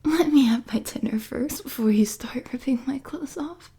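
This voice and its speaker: A woman playing an unhinged, flirtatious female supervillain prisoner in a roleplay audio drama, with a breathy, husky, whispery voice and a teasing, mock-sweet manner. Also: sits high, warm tone, heavily acted. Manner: slow, teasing, sultry, mock-sweet taunting.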